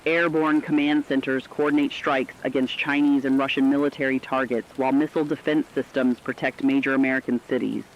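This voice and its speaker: Male actor as solemn radio war reporter, medium pitch, emphatic scripted read, muffled lo-fi broadcast. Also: flat delivery, a little fast, few pauses, close to an everyday voice. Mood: grave, resolute. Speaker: news correspondent. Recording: dull lo-fi radio sound.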